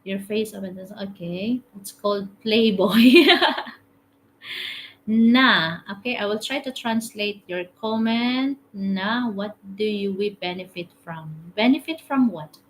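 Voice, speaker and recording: A woman's voice: medium pitch, slow, a little hiss or hum, rough recording. A woman from the Philippines with a Filipino accent, hosting a casual live stream, reading comments aloud, chatting and laughing often. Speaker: TikTok live host. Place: home room, currently in UAE.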